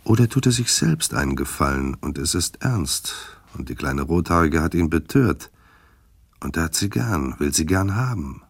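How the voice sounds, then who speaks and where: Detective drama narrator, bass-deep voice, sardonic, ironic, scripted storytelling, dry close studio sound. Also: male voice, expressive, normal speed, slightly rough, dry recording, exaggerated voice. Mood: sardonic, probing.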